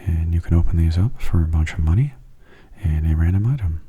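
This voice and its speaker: A man giving soft-spoken, hushed ASMR commentary on a video game, slipping into whispers, close to the microphone. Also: low-pitched, lively intonation, brisk, husky, dull audio.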